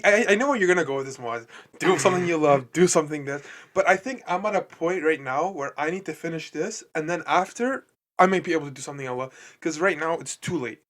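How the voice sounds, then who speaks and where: A young man from Canada chats on a podcast with a slightly high voice. He talks earnestly, circling back on his words and leaning on fillers as he thinks aloud. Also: fairly bright tone. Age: young adult. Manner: earnest, rambling, many fillers and restarts. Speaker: podcast co-host. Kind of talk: casual chat.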